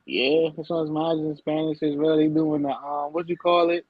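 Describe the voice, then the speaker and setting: A man, a guest on a live stream, chatting in a relaxed, hesitant way and repeating words. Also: a little high, normal speed, no echo, highs cut off. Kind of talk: casual chat on a call.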